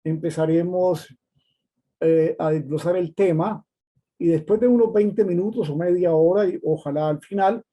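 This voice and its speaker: Bright-voiced Colombian man teaching a course. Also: high-pitched. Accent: Colombian, from Cali. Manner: unhurried, repeats key terms while dictating notes. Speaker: course instructor. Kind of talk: online training lecture.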